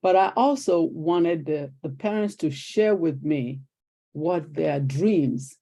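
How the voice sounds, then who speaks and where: Older Nigerian woman, guest storyteller, rough-edged mellow tone. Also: low voice, slow pace. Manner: animated storyteller acting out quoted exchanges. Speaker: guest, retired teacher. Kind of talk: conversational interview storytelling.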